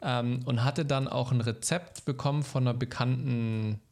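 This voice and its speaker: A middle-aged man co-hosting a podcast, chatting casually and rambling through stories in a relaxed way. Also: medium pitch, moderate pace. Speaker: podcast co-host. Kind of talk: casual chat.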